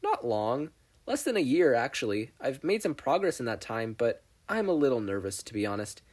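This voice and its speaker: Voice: mid-range voice, big pitch swings, moderate pace, a little mellow. A podfic reader voicing a shy, nervous teenage boy who speaks politely, hesitantly and earnestly.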